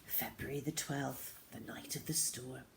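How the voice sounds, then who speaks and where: A woman reading a children's novel aloud as a first-person diary, with expressive, deliberate phrasing. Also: extremely low pitch, creaky, noisy background.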